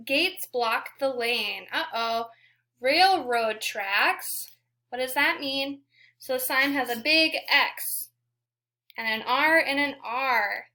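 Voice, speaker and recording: High, bright-voiced woman teaching young children. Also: slow. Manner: encouraging, prompting, repeats praise to listeners. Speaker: teacher reading a picture book aloud to young children. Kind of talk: interactive children's read-aloud with questions.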